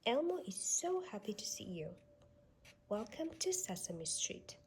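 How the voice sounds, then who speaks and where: A woman reading a children's storybook aloud with expressive, sing-song delivery aimed at young children. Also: mid-range voice, measured pace, a little hiss or hum. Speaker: storybook reader.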